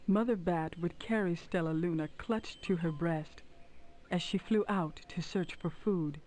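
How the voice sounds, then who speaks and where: A woman narrating a children's storybook in a dramatic, deliberate manner. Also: lowish voice, a little mellow, slight background noise, close to an everyday voice, low-quality recording.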